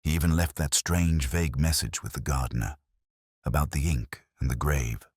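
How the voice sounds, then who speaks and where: A man voicing an analytical, composed Victorian detective in an audiobook, speaking precisely and deliberately with cool reasoning. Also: very deep voice, darkish tone, husky, no echo, studio quality. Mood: probing, deliberate.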